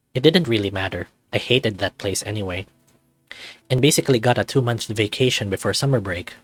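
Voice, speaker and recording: A man's voice: mid-range voice, no echo, lightly acted. A man voicing a sarcastic, anxious young furry student in a visual novel, narrating in a wry, self-deprecating, conversational way. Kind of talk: visual novel character voice-over.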